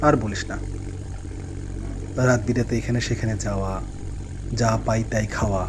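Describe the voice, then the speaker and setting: An actor playing a weary, anxious lorry driver in a horror cartoon. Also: male voice, lowish voice, fairly even intonation, normal speed, close to an everyday voice. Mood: weary, complaining. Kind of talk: cartoon voice acting. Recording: clear engine and road noise behind.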